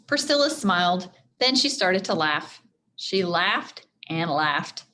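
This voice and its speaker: A woman reading a children's chapter book aloud in an expressive teacherly style, speaking directly to her young listeners. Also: on the low side, takes it slowly, a little hiss or hum. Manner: addresses young listeners directly, acts out dialogue.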